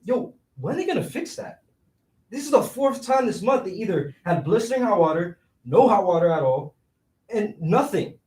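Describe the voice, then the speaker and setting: A man's voice: high voice, big pitch swings, moderate pace, a little mellow. An actor playing a scheming, broke young city man in a staged reading, talking in slangy, persuasive street phrasing. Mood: bitter, venting. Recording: roomy echo, distant microphone.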